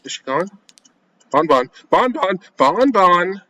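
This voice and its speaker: Bright-voiced young man doing a let's play. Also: sits high, sing-song, slow. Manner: slangy, jumpy, talking to himself. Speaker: gaming video creator. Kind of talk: casual let's play, reading visual novel text aloud and reacting.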